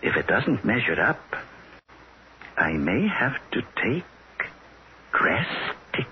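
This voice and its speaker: A man playing a small old alien in a 1960s radio drama, polite and calmly menacing, hesitating as he searches for words. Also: lowish voice, takes it slowly. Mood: matter-of-fact, ominous. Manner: genteel, hesitant word-searching, matter-of-fact. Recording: dull, noisy old radio recording.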